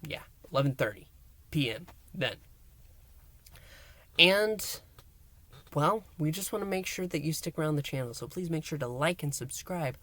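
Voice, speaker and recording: A man hosting a review and recap, speaking emphatically to viewers in a halting way with dramatic pauses. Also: a little high, expressive, sharp tone. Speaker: host of an audio drama review channel.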